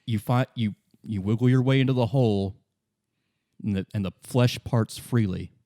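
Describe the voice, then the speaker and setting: A man running a tabletop roleplay as game master, improvising the narration and haltingly thinking aloud. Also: fairly low pitch, mellow, no echo, clean studio sound.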